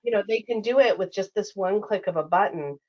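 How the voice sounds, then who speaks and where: A woman explaining a software product in a conversational style, as an expert from the company. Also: medium pitch, a little fast, lo-fi sound.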